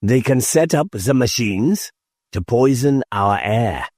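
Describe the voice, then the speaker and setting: Man voicing an earnest young rebel, raised pitch. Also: animated, slow pace, a little mellow, dry recording, clean studio sound. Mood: sober, reasoning. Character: earnest young male rebel fighter weighing the enemy's plans. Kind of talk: audiobook character dialogue.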